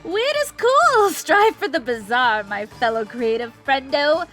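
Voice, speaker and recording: A woman voicing an eccentric young inventor in an animated comedy, gushing, slangy and excitable. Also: high-pitched, unhurried, soft background music, full character voice. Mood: eager, playful.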